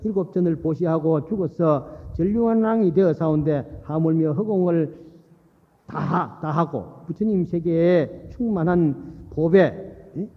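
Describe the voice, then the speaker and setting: A man, a Buddhist monk, giving a sermon in a folksy explaining way, repeating phrases for emphasis and putting rhetorical questions to his listeners. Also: sits high. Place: large hall at a temple dharma assembly. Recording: reverberant hall, dull muffled sound.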